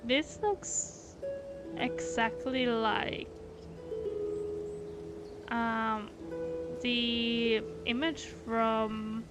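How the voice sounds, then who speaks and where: A woman's voice: on the high side, fairly even intonation, very slow, pauses often. A woman streaming a game with casual commentary, musing aloud in a tentative way. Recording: loud game music behind voice. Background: game music.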